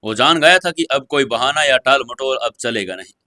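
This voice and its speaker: A male narrator reads a dramatic audio story in a bright, forceful voice of middling pitch. The sound is close and very dry, with no room around it. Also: expressive, normal speed, dry recording.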